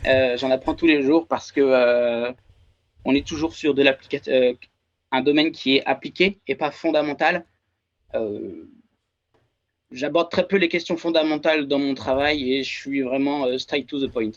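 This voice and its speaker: A man's voice: mid-range voice, normal speed. A man in his thirties with a French accent, talking as an interview guest and hesitating often with fillers and restarts. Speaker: guest, research and development engineer. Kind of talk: casual interview talk. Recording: dull lo-fi sound.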